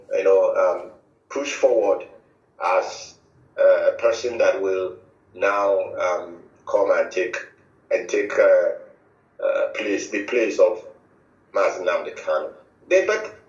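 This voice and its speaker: Nigerian man, middle-aged, slow halting spokesman, distant echoey lo-fi audio. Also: on the low side. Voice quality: halting, many restarts and fillers. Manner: emphatic, repetitive declarations. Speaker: movement spokesman interviewed on radio. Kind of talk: formal radio statement.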